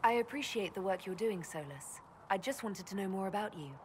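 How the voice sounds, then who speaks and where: Lady playing a young elf mage in a game, composed n curious, mid pitch, clear ambient noise. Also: animated, brisk, natural voice. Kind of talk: video game dialogue acting.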